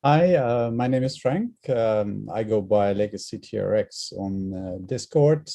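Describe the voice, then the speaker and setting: A man's voice: fairly low pitch, lively intonation, a little slow, gravelly, mellow. A man who is a developer, introducing himself hesitantly and searching for words.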